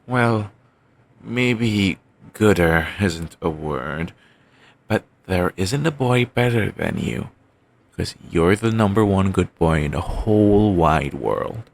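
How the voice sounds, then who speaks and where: A young man voicing an obsessive, doting boyfriend in an ASMR audio roleplay, murmuring soft, soothing, controlling reassurances. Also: animated, slow, vocal fry, a little hiss or hum, heavily acted. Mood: tender. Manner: coaxing, endlessly affectionate, quietly controlling. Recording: home mic, low-quality.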